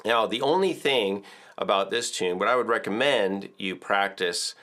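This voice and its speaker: A middle-aged man giving a relaxed ukulele lesson to camera in an indoor studio, chatty and full of filler words. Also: fairly low pitch, normal speed. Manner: chatty, explains with filler words and counts aloud. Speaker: ukulele teacher. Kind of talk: relaxed video tutorial.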